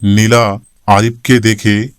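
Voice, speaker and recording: A man narrating a scripted story in a measured, formal reading style. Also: lowish voice, little pitch movement, clean tone, no echo, studio quality.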